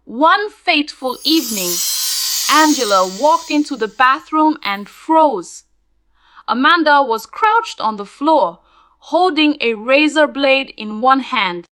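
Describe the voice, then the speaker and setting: A woman narrating a spooky scripted tale in a dramatic, deliberate storytelling manner. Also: sits high, lively intonation, fairly bright tone, dry recording, poor audio.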